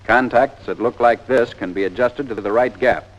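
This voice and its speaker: A man's voice: medium pitch, moderate pace, lo-fi sound. An American man narrating a 1940s army training film in a scripted, plain-spoken, methodical instructional style.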